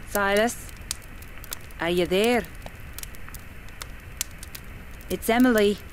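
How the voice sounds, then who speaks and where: A woman's voice: mid-range voice, slight background noise, natural voice, rough recording. A woman playing a commanding, mysterious spirit medium of the 1920s in a horror audio drama, with formal, theatrical diction. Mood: entranced, calling out. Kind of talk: dramatic horror podcast acting.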